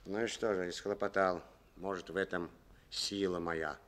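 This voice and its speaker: A man's voice: deep voice, steady tone, moderate pace, a bit bright. A man playing a stubborn, middle-aged collective farm chairman in a Soviet radio play, with sly, rustic, grumbling speech. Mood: defiant.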